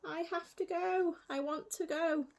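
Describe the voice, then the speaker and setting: A woman's voice: fairly even intonation, measured pace, darkish tone. A woman voicing an anxious, urgent young heroine from a children's storybook. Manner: high, urgent exclaiming.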